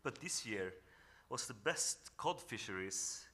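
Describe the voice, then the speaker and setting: A man from northern Norway with a Norwegian accent gives an earnest, reflective talk on a panel, often pausing and restarting as he searches for English words. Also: fairly low pitch, expressive, bright, forceful tone. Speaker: panel speaker. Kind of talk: reflective presentation at a symposium.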